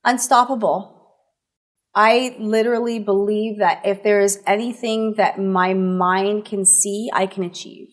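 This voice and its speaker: A woman's voice: mid-range voice, fairly even intonation, unhurried. A woman guest in an interview talking earnestly and emphatically, posing rhetorical questions as she shares motivational ideas.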